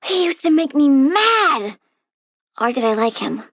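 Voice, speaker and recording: A woman's voice: high voice, big pitch swings, unhurried, fairly bright tone, no echo, studio quality, heavily acted. A woman voicing a young girl plant villainess in a video game, childlike, blunt and pouty, with a volatile, morbidly cheerful temper. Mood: curious, chatty. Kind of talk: video game character voice lines.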